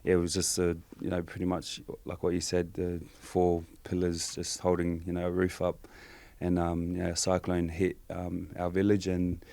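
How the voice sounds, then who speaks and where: A Samoan-born man, a Samoan speaker, answering interview questions softly and hesitantly with many fillers. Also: deep voice, monotone, moderate pace, vocal fry, few pauses, no echo. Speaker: guest.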